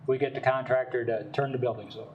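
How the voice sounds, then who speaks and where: An older man representing a developer, giving folksy, rambling testimony at a city council meeting and speaking loudly on purpose. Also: mid-range voice, fairly even intonation, fast, far from the mic, highs cut off, a little hiss or hum.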